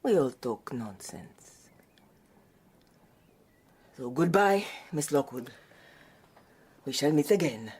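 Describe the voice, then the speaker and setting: An actress playing a menacing, fatalistic Victorian widow with an ominous, deliberate delivery in a radio drama. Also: female voice, sits low, dark tone, breathy edge, exaggerated voice. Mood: cold, threatening.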